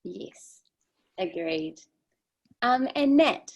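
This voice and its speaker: A woman's voice: medium pitch, lively intonation, drawn-out words, highs cut off. A woman facilitating a panel on a video call, friendly and brief as she hands over.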